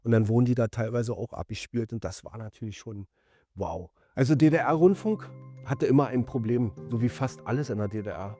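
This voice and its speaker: A man in his fifties from East Germany, reflecting and commenting in an interview, halting yet emphatic as he piles up rephrasings. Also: mid-range voice, warm tone, faint music behind. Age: 50s.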